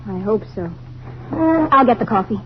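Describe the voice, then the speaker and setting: A woman's voice: on the high side, animated, moderate pace, lightly acted. An actress playing a tender, earnest young American woman, a frightened survivor, in an old-time radio drama. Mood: fearful, wistful. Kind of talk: 1950s radio drama acting. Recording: dull, lo-fi old radio, faint noise.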